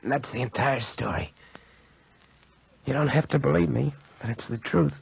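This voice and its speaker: An actor playing a haunted, guilt-ridden young man, halting and anguished, with a raspy voice, in 1940s radio drama. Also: medium pitch, lively intonation, full character voice. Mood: frantic. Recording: dull 1940s radio recording.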